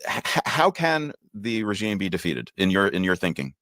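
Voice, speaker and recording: Deep-voiced male interviewer. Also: very animated, moderate pace, a bit bright, no room sound, clean studio sound. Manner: probing, rephrasing the guest's answers with stumbles. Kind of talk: interview questions.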